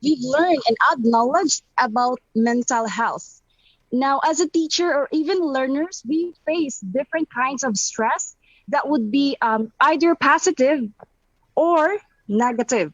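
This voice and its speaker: A woman's voice: high-pitched, measured pace, dry recording. A woman with a Filipino accent, a teacher giving prepared feedback in an online seminar over a call. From Philippines. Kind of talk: prepared spoken testimonial. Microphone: online video call, camera off. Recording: muffled lo-fi online call audio.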